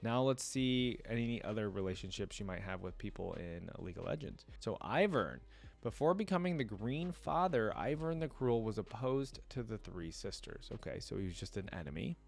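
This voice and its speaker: Low-pitched man doing casual reaction commentary. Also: very animated, normal speed, creaky. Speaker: reaction video creator reading lore aloud and commenting. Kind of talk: casual reaction commentary mixed with reading aloud.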